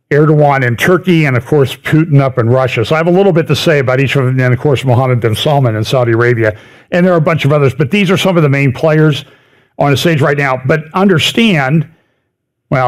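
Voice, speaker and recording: A middle-aged man giving a conversational lecture over slides, stressing words by repeating them and dropping in wry asides. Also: mid-range voice. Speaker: speaker giving a prophecy update talk.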